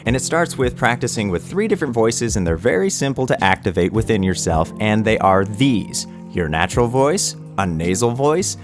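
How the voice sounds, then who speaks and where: A man in his thirties giving a casual, rambling voice-acting lesson straight to camera, joking at his own expense. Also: lowish voice. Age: 30s. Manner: chatty, rambling, self-deprecating. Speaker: voice-acting YouTuber.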